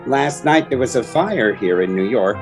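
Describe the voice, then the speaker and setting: A man playing a gentle, wistful elderly retired masked hero in a fan-made drama, halting and diffident. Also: medium pitch, expressive, gravelly, over music. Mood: amused, fond.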